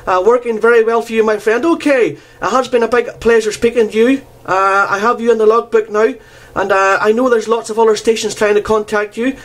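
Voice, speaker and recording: A high-voiced man with a Northern Irish accent works a two-way radio contact. He speaks courteously and deliberately, repeating key phrases and using formal call-sign procedure as he goes. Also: steady tone. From Northern Ireland. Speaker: amateur radio operator. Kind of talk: radio contact exchange with call signs and phonetic spelling.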